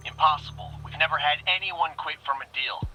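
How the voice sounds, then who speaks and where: Sharp, menacing male gang boss. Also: on the high side, brisk, faint music behind, low-quality recording. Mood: scornful, threatening. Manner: taunting, threatening. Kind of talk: video game villain acting.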